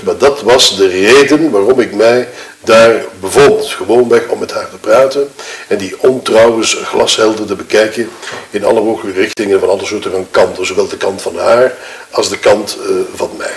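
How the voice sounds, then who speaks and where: A man acts out a wronged Flemish husband in an audio drama, justifying himself at length. His voice sits on the low side and rings in a roomy space. He picks formal words, hesitates and corrects himself as he testifies. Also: moderate pace, natural voice. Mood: hesitant, defensive. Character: wronged, self-justifying widowed husband giving his statement. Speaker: actor in an audio murder-mystery game. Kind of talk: acted improvised-sounding testimony. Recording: roomy echo.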